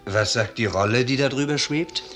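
A man playing a curious, brisk soldier in a 1950s German radio drama. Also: medium pitch, fairly bright tone, close to an everyday voice. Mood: curious, admiring. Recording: 1950s radio recording, music behind.

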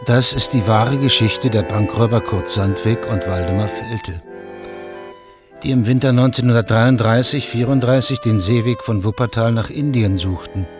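A man's voice: mid-range voice, normal speed. A man narrating a scripted radio drama in a sober, matter-of-fact manner. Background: music.

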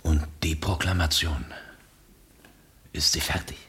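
A man's voice: extremely low pitch, little pitch movement, unhurried, sharp tone, a little hiss or hum, heavily acted. A man playing an embittered, uncompromising revolutionary in a radio play, terse and stern. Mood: grim, probing. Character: embittered, uncompromising male revolutionary back from prison.

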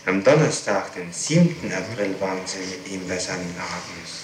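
A man questioning someone in a police interrogation, methodical and insistent, speaking haltingly with pauses. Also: deep voice, animated. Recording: echoey, noisy 1960s archive tape.

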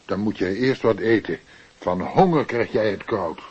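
A man's voice: lowish voice, normal speed, darkish tone, breathy edge, muffled recording. An actor playing a fatherly, caring night porter in a radio play, coaxing and solicitous. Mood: fussing, caring. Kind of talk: radio drama acting.

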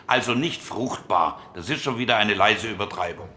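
A man's voice: lowish voice, normal speed, pushed and bright, a little hiss or hum. A man playing a precise, pedantic committee member in post-war Germany, debating formally and insistently in a radio docudrama. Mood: critical, exacting.

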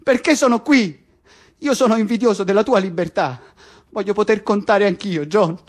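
A man's voice: high-pitched, normal speed. A man acting a bitter, despairing political prisoner in an intense, emotional stage drama. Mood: anguished, bitter. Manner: foul-mouthed, emotional, repetitive. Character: Winston, bitter despairing male political prisoner in South Africa. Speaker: stage actor. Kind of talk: theatre acting, intense naturalistic dialogue.

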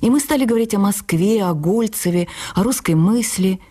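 A woman's voice: mid-range voice, normal speed. A woman narrating a literary memoir expressively, as a dramatized audio reading. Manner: expressive storytelling with acted dialogue.

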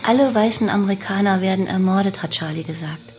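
An actress playing a devoted cult follower in a radio play, calmly reciting her guru's teachings. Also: mid-range voice, fast, a little hiss or hum, lightly acted. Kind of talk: German radio play monologue.

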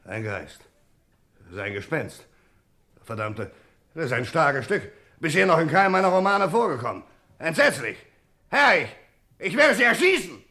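An actor playing a pompous, theatrical crime writer who runs a gang, grandiose in manner, in a radio crime play. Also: male voice, on the high side, big pitch swings, normal speed, pauses often, pushed and bright, heavily acted. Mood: aghast.

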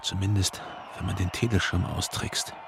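An actor playing a wary, brooding office worker, muttering clipped inner thoughts in a radio drama. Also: male voice, sits low, steady tone, normal speed, heavy background noise. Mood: watchful, secretive. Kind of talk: radio drama interior monologue. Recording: music behind the voice.

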